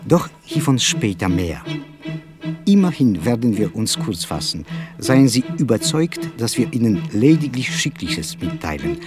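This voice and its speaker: An actor playing an aging, nervous yet cheerful poet in an emphatic, courteous theatrical monologue. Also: male voice, medium pitch, very animated, unhurried, music in the background. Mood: whimsical insistence. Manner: emphatic, mannered, courteous, circling repetitive self-talk. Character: aging, slightly nervous, whimsical poet with quirks, defiantly cheerful. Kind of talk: theatrical literary monologue with music.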